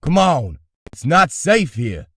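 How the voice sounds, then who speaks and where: A protective, concerned man played by an actor in a horror comic dub. He speaks slowly in a husky voice with lively pitch, recorded dry. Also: no echo, heavily acted. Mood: alarmed.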